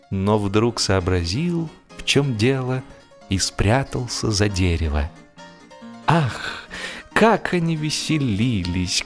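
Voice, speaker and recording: Male storyteller narrating humor, music behind. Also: medium pitch. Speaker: audiobook narrator.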